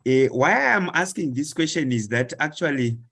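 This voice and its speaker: A man, slowly asking a question in an online discussion and reeling off long lists of words with many hesitations. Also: fairly high pitch, animated, gravelly, fairly bright tone. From Zimbabwe. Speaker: webinar participant.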